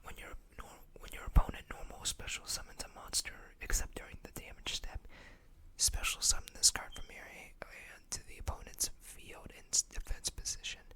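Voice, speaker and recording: An ASMR host whispering in an unhurried way, reading text aloud and adding small asides. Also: sharp tone. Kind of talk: whispered ASMR card showcase.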